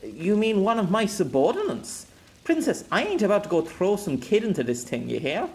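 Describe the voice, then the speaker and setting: A man voicing a blunt, loyal male bodyguard who talks in rough, casual speech. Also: high-pitched, wildly swooping pitch, normal speed, a little mellow, voice pushed up, exaggerated voice, low-quality recording. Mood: gruff.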